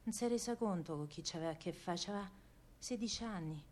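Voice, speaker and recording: A woman's voice: medium pitch, quick pace, a little mellow, natural voice. Actress playing feisty wartime Roman woman, working-class, Roman accent, earthy, colloquial, theatrical first-person storytelling, radio drama. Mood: hushed, grief-stricken.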